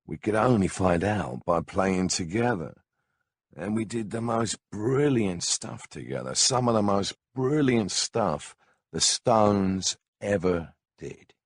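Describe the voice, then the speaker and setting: A man with a gravelly, husky voice narrates a memoir audiobook in a laid-back, blunt, wry, conversational storytelling way on a close microphone. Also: lowish voice, lively intonation, slow, dry recording.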